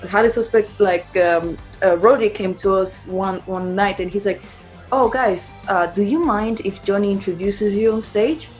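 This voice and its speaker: A woman in a band chatting casually in an interview, telling stories in an animated way and acting out what people said. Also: mid-range voice, moderate pace. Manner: chatty, acts out reported speech. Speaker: band musician being interviewed. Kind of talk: casual chat, animated storytelling. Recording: music playing behind the voice.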